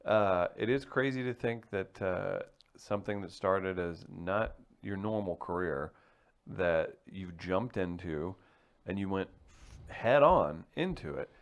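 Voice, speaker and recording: A man's voice: sits low, takes it slowly. A man hosting a casual interview podcast, talking loosely with lots of fillers. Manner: loose and chatty, full of "like" and fillers. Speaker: podcast host.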